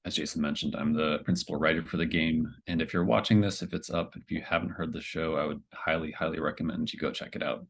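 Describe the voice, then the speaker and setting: Really low monotone guy, game writer saying hi on a call. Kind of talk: casual self-introduction. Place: online group call.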